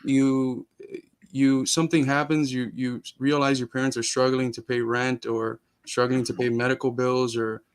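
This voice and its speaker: A man's voice: mid-range voice, little pitch movement, normal speed, clear, resonant tone. Earnest young man from Cuba. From Cuba, raised in Miami. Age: young adult. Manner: earnest, frequent restarts and fillers. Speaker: guest. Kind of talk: casual interview conversation.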